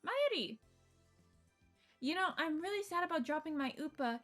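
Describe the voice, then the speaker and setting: Female streamer narrating a visual novel, higher register, reactive and conversational, game music behind her. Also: moderate pace. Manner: expressive, reactive, slipping into asides. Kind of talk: live stream read-aloud of a visual novel with casual reactions.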